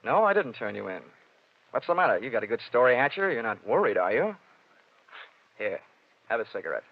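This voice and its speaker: An American actor playing a wry, tough insurance investigator in a 1940s radio detective drama, with a clipped, sardonic delivery. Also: male voice, mid-range voice, very animated, fairly quick, no echo. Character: wry, tough male freelance insurance investigator, hard-boiled. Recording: dull vintage 1940s radio recording.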